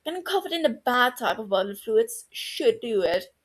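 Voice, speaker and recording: A woman's voice: fairly high pitch, big pitch swings, moderate pace. A woman voicing a sardonic young male doctor who talks in a dry, sarcastic way.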